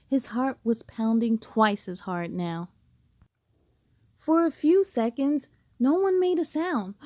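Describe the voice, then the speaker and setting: High-voiced woman reading a children's story. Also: slow, dry recording, studio quality. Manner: animated storytelling with dramatic emphasis. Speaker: storybook reader. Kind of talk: expressive children's read-aloud narration.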